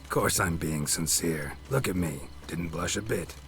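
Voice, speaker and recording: A stoic monster hunter from a fantasy game, with a very low, gruff voice. He speaks in terse, deadpan lines over faint background noise. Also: moderate pace. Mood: deadpan teasing. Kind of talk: video game dialogue acting.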